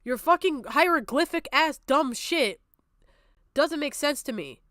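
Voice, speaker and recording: A woman's voice: high voice, expressive, unhurried, fairly bright tone, no room sound, studio quality. A woman streamer giving live reaction commentary in a combative, sarcastic way, swearing heavily.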